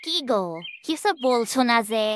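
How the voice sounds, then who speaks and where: A woman voicing a nagging, scornful village wife in a comic cartoon, expressive and demanding, with noise and birds behind her. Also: on the high side, moderate pace, natural voice. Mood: impatient.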